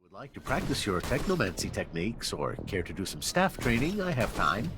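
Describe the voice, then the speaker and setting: An actor playing a courteous, cautious training instructor in a video game, dry and formal. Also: male voice, lowish voice, very animated, brisk. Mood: dryly polite. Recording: gunfire and noise behind voice.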